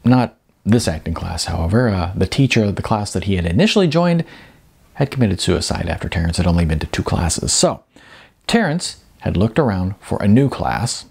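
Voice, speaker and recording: Male narrator, low and husky, lively swings in intonation, expressive audiobook-style reading of literary prose. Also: moderate pace. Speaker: author reading their own novel.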